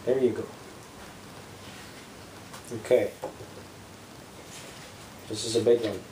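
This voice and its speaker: Father reading bedtime storybook with casual asides; mellow tone, roomy home recording. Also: fairly low pitch, little pitch movement, slow pace, slight background noise. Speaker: parent reading to young children.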